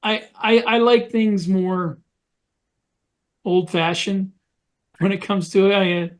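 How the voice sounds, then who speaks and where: A man with a high-pitched voice talks as a panelist in a casual podcast discussion. His delivery is hesitant, and he corrects himself as he goes. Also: steady tone, slow.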